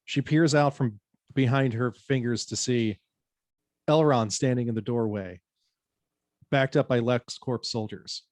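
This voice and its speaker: Male narrator, mid-range pitch, screenplay directions, scripted read, very little room sound. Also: a little slow, long pauses, darkish tone, no room sound. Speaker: narrator reading stage directions.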